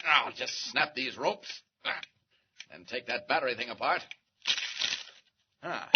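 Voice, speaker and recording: An actor playing a calm, wry male reporter who is secretly a superhero, in a 1940s radio serial. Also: mid-range voice, measured pace, close to an everyday voice. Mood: determined. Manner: calm, wry and self-assured. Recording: muffled old radio recording with hiss.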